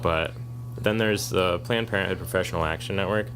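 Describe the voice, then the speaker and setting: A deep, low-voiced man speaks in a flat monotone, a co-host who talks at length and likes to argue in casual podcast chat. Music plays clearly behind him. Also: brisk. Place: kava bar.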